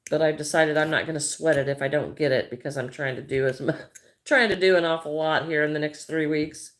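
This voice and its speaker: A woman's voice: low voice, a little fast. A middle-aged Midwestern American woman chatting casually on a crochet livestream, greeting viewers by name and thinking aloud as she works.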